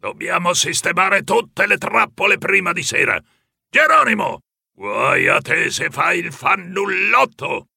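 Gravelly old grandpa mouse. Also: male voice, high-pitched, sing-song, measured pace, no echo, studio quality, heavily acted. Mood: commanding. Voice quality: gravelly, grumbling. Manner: barking orders, shouting.

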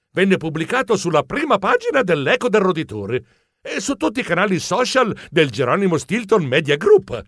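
A man playing an excitable, bumbling, polite mouse journalist who tells his own adventure as theatrical children's storybook narration. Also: on the high side, dry recording, clean studio sound. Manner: theatrical, expressive, comic exclamations.

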